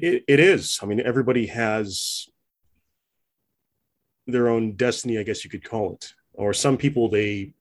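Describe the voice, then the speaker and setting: A man with a fairly low voice talks in a sit-down interview. He reflects slowly and often restarts his sentences, reaching for the right words. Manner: reflective, hesitant, many fillers and restarts. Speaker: interview guest. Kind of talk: conversational interview.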